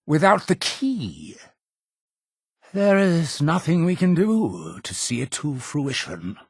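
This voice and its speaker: An actor playing a zealous, imperious cult leader in a video game, with a gravelly, husky voice and solemn, grandiose delivery. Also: male voice, on the high side, fairly bright tone, no echo, studio quality, heavily acted. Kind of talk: theatrical video game dialogue.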